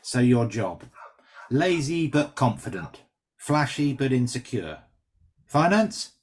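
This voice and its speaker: A man playing a brash, quick-witted stand-up comedian with sardonic, teasing banter in a script reading. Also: mid-range voice, very animated. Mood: cocky teasing.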